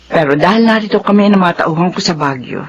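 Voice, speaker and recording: A woman's voice: lowish voice, expressive, fast, slightly rough, highs cut off. A middle-aged woman playing an earnest, defensive and emotional widowed mother and boss in a Filipino radio drama. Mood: shy.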